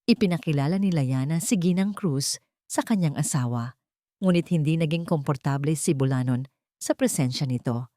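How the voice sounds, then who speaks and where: A woman's voice: sits low, moderate pace, warm tone, no echo, studio quality. A woman with a Filipino accent reads a dramatic scripted love story as its narrator.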